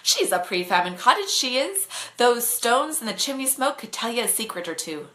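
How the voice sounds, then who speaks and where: Woman putting on a cocky, bright-voiced teen boy. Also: fairly high pitch, big pitch swings, normal speed, voice pushed up. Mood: proud. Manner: teasing, breezy, boastful. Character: cocky, mischievous teenage Irish boy cab driver. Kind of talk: bedtime storybook reading.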